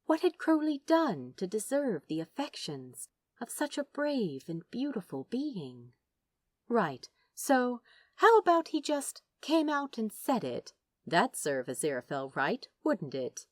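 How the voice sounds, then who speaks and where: A woman reading fiction aloud as a narrator, dramatic and wry, with deliberate phrasing. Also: on the high side, huge pitch swings, dry recording. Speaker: audiobook-style narrator. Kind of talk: expressive scripted fiction narration.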